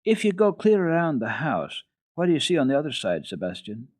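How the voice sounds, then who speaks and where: A man's voice: on the high side, very animated, a little fast, no room sound, studio quality, pitched above the performer's own voice. A man voicing an innocent little mountain girl, earnest and full of naive questions, in a children's story reading. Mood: curious.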